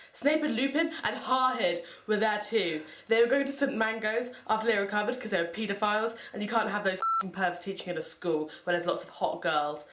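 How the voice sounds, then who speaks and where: A woman's voice: medium pitch, fairly bright tone. A woman narrating fan fiction in the first person in a theatrical, mock-serious way. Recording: echoey room.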